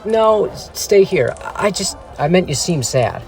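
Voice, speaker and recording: A man's voice: sits high, big pitch swings, a little slow, a little mellow, soft background music, full character voice. A man playing an eager, cheeky teenage sidekick in an audio drama, talking in casual boyish slang. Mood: friendly.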